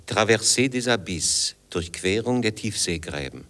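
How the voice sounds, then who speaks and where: A man with a low-pitched voice narrates a radio feature. He reads from a script as the reporter guiding the listener through the piece. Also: expressive, measured pace, a bit bright.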